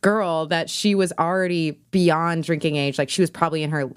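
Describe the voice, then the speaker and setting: A woman's voice: low voice, steady tone, normal speed, clean tone, no echo, studio quality. A woman telling a personal story as a podcast guest in a casual, chatty way, often laughing as she talks.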